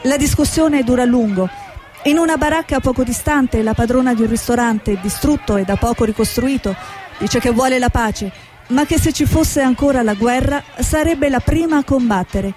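A woman's voice: high-pitched, moderate pace, darkish tone, soft background music, a faint crowd, close to an everyday voice. A woman playing a stubborn, outspoken war correspondent in a stage drama, speaking in an earnest, insistent way. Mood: urgent reporting. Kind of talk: stage drama acting with dramatic reportage monologue.

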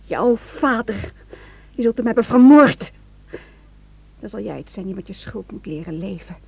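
A woman's voice: mid-range voice, animated, normal speed, creaky, a little mellow, breathy edge, no echo. An actress playing an anxious, indignant woman in a radio drama, fraught and accusing. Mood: horrified, accusing.

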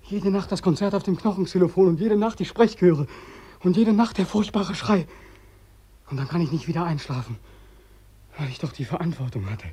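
An actor playing a haunted, sleepless young ex-sergeant in a German radio play, speaking with insistent, feverish intensity in a husky voice. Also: male voice, high-pitched, normal speed, warm tone. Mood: anguished, crescendo. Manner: intense, urgent, insistent repetition.

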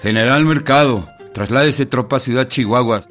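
An actor playing a stern official dictating curt military orders in a radio drama. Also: male voice, medium pitch, normal speed, a bit bright, soft background music, natural voice. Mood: stern, urgent.

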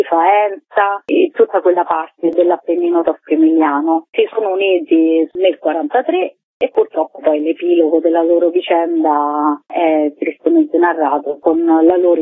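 A woman's voice: lowish voice, husky, no room sound. A woman, a theatre artist being interviewed, explaining her work in long, flowing, articulate sentences. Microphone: telephone. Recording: tinny phone line on radio.

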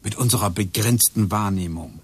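An actor playing Graham, a man in a German radio play who muses haltingly about time and particles. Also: fairly low pitch, slightly rough. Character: brooding man lost in theories of time.

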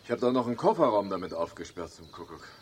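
A man's voice: lowish voice, sing-song, fast talker, a little hiss or hum. A man playing a bewildered, grumbling car owner in a radio play, plaintive, with a Bavarian accent. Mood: puzzled. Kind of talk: German radio play acting.

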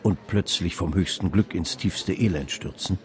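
A man with a low voice narrates a radio drama. His delivery is measured and literary, telling the story from a script. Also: soft background music.

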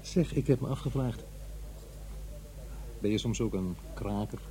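Radio drama father, male actor, middle-aged Netherlands Dutch man, dark mellow low voice, practical and sceptical, narrating and in dialogue. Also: unhurried, close to an everyday voice, poor audio. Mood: probing, defensive.

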